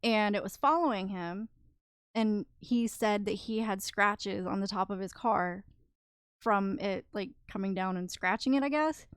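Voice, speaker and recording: A woman co-hosting a podcast, earnestly walking through a story in a casual chat. Her voice is smooth and clean, recorded close and dry. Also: mid-range voice, measured pace, dry recording. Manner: explains, recounts stories earnestly. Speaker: podcast co-host.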